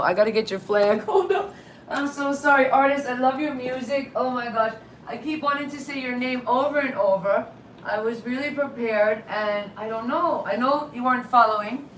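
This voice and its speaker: A woman's voice: fairly high pitch, reverberant, a little hiss or hum, poor audio. A woman hosting a casual live-stream interview, chatty and rambling, apologizing and laughing often. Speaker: host. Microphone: live-stream video call.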